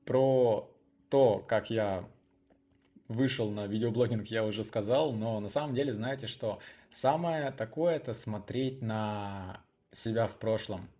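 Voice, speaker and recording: A man's voice: lowish voice, measured pace, mellow. A young man telling personal stories to viewers in a casual, unscripted way, chatty and rambling as he thinks aloud. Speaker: video blogger hosting his channel.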